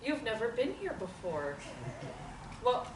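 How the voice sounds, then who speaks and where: A woman voices a collected, self-assured woman who answers rudeness with cool, cutting patience. Her voice is a touch high and lively in pitch. It sounds distant and roomy, with clear background noise. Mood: calm, patient. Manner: calm, measured, politely cutting. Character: composed, prim, self-assured woman in group therapy. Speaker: table-read actor. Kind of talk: script table reading.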